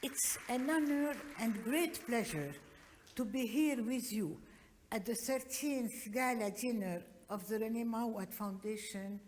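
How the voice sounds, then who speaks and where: An older woman gives a slow, measured, formal greeting in a recorded video message. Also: fairly high pitch, a little mellow, a little hiss or hum. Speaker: foundation founder in a video message.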